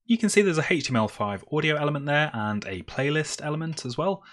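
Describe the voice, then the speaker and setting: A man's voice: fairly high pitch, lively intonation, fast talker, a bit bright. A man presenting a screencast tutorial, explaining step by step in a semi-improvised way as he works. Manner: step-by-step, explaining while typing.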